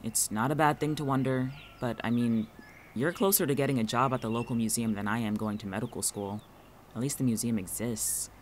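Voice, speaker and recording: A man's voice: mid-range voice, fairly quick, a little hiss or hum, lightly acted. An actor playing a guarded, sarcastic male college student in a fiction podcast, with a dry, curt manner.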